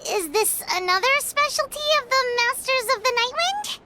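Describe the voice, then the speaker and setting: An actress voicing a tiny, curious, blunt fairy companion in an anime game, squeaky and childlike. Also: female voice, lively intonation, normal speed, bright, forceful tone, slight background noise, exaggerated voice. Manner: blunt, speaks of herself in third person.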